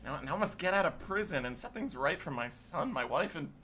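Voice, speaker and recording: A man's voice: a little high, expressive, fairly quick, sharp tone, a little hiss or hum. A man in his twenties chatting playfully and with mock drama as a guest on a gameplay stream. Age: 20s. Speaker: guest co-op player. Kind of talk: casual gameplay chat. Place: indoor streaming setup, seated. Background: game music.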